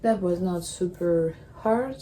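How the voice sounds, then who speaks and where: A young woman, chatting casually to camera in halting English, often restarting her sentences. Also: fairly low pitch, dark tone, slight background noise. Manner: halting, apologetic non-native English with restarts. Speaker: vlogger. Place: apartment.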